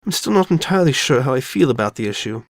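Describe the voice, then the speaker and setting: A man's voice: mid-range voice, expressive, quick pace, no room sound, natural voice. A man voicing an elegant, genteel female pony with refined, theatrical and formal diction in a dramatic fan fiction reading. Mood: uncertain, gentle.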